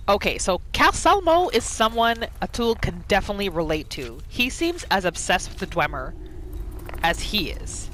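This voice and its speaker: Woman, mid-pitched and highly animated, casual roleplay gaming commentator who reacts aloud to herself. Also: moderate pace, a little hiss or hum. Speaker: gaming video host. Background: game ambience.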